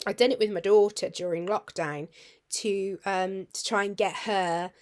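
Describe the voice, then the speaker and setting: A British woman with a husky, hoarse voice, chatting casually to her viewers in a rambling, friendly way that sometimes drops to a near-whisper. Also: mid-range voice, steady tone, moderate pace, a little mellow. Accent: British English. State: husky, hoarse after surgery tubes. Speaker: vlogger.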